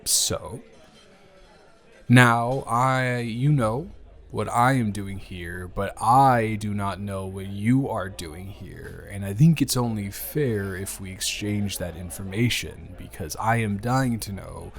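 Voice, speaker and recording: A man plays a smitten, jealous-hearted guy in an improvised romance audio. His voice sits fairly low and moves very slowly, halting and awkwardly playful as he teases. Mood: casual, joking. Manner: halting, hesitant, teasing.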